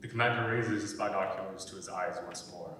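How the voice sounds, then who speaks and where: Male narrator, scripted stage directions: sharp, low voice, recorded in a very echoey hall with faint noise. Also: fairly quick. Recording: echoey hall, distant mic, faint rumble.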